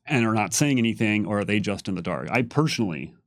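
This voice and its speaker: A man's voice: fairly low pitch, animated, quick pace, no room sound. A man on a livestream panel explaining game lore casually, stressing and restating his points.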